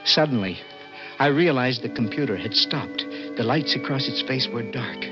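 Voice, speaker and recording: An actor with an American accent playing a condemned poet, earnest and haunted, in 1960s radio drama style. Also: male voice, mid-range voice, animated, normal speed, lightly acted. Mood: haunted, reflective. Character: desperate condemned poet clerk, gentle dreamer. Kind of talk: 1960s radio drama acting with first-person narration. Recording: dull old radio recording with music.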